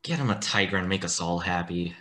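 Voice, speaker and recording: A sardonic asylum orderly acted by a man in a radio play. His voice is low and forceful, dutiful toward his superior but wry. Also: brisk.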